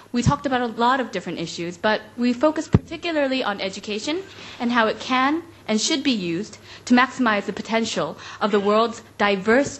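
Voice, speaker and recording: A young woman from South Korea, a youth delegate, giving a prepared, measured speech at a forum. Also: medium pitch, a bit bright, slight background noise. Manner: formal, measured reading.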